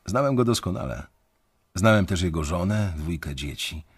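An actor playing an anxious young banker who narrates his own story in a confiding, measured, dramatic way in an audio drama. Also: low voice, expressive, breathy edge, exaggerated voice. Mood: reflective, uneasy. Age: young adult.

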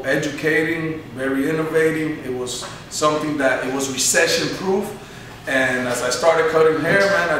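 A man's voice: a little high, a bit bright. A man in his thirties talks in an earnest, motivational way, leaning on "you know" as he answers interview questions. He is heard through a camera mic some distance away in a barbershop that rings with echo, and faint room noise sits underneath. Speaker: barbershop owner. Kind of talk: sit-down interview. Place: barbershop, indoors. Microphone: camera mic from across the room. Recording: echoey room, mic far off.

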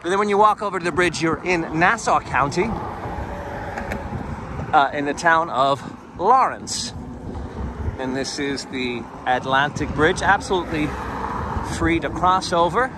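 A man's voice: a little high, a little slow, long pauses. A man narrating a walking tour in a chatty, digressive way, recorded outdoors on a camera mic while he walks. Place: outdoors on a bridge footpath by the sea. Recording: outdoor street noise. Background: traffic and wind noise.

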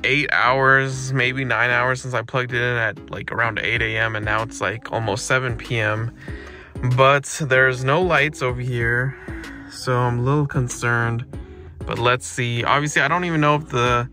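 A man's voice: medium pitch, fairly even intonation, moderate pace, bright, forceful tone, faint music behind. A young man talking casually to camera as a car vlogger, rambling and leaning on words like "like" and "literally". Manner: rambling, heavy use of "like" and "literally". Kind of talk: casual vlog talk to camera.

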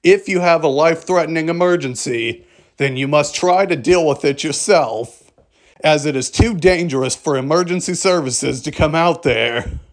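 A man reading a scripted emergency alert broadcast in a formal, measured manner. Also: fairly high pitch.

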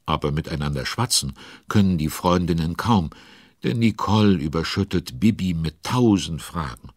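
Curious male narrator, children's audio drama, deep, lively intonation, chatty. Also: moderate pace, no echo, clean studio sound. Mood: lively, confiding. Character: chatty, curious male storyteller commenting on the action. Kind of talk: children's radio play narration.

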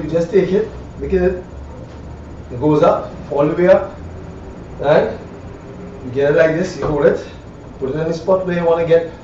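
Echoey, distant Caribbean man, higher-pitched voice. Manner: rambling, repeats phrases, frequent 'right?' tags. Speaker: woodworker demonstrating hand tools. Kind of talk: casual hands-on demonstration. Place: small cluttered indoor woodwork shop. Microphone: camera a few metres away. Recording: echoey room, distant mic, dull, faint noise.

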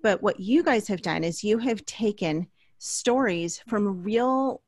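A woman's voice: medium pitch, expressive, slow pace, darkish tone, no echo. A middle-aged woman hosting an interview in a chatty, storytelling way, recorded close on a studio microphone. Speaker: podcast host. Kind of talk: conversational interview introduction. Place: small indoor home studio. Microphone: large studio microphone up close.

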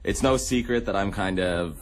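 A young adult man from Canada chatting casually and a little haltingly as a radio host in a studio. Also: on the low side. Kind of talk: casual chat.

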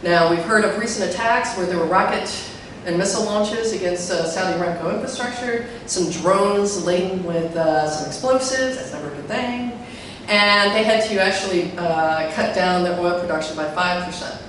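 A middle-aged woman with a deep voice gives a chatty talk on a handheld mic, filling her speech with fillers and putting questions to the audience. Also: moderate pace, fairly bright tone, slight background noise. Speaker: conference speaker. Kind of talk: live tech talk to an audience. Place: large hall with a projector screen. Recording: echoey hall from far off.

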